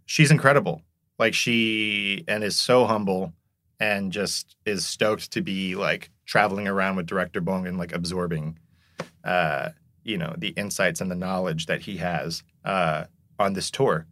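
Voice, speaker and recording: A man's voice: low voice, steady tone, a little slow, a bit bright, no echo, clean studio sound. A man who grew up in Korea, a podcast guest chatting casually and rambling with lots of filler words. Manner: rambling, heavy use of "like" and "you know". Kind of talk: casual conversation.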